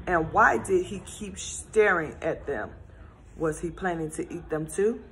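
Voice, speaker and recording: Low-voiced woman reading a children's story. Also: unhurried, slight background noise. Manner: gentle, expressive storytelling. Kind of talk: storybook read-aloud.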